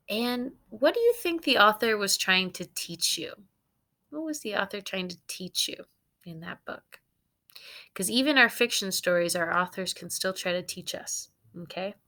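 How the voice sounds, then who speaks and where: Woman, teacher reading aloud to young students. Expressive and instructive, unhurried pace. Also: medium pitch. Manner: expressive, instructive, addressing children. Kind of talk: read-aloud storytelling for students.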